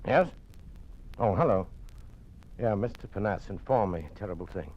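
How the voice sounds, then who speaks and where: A man's voice: fairly low pitch, animated, moderate pace. A man playing a defiant, suspicious doctor in a police state, blunt and challenging, in an old-time radio drama. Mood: grave, restrained. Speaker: actor. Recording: dull, lo-fi old radio recording.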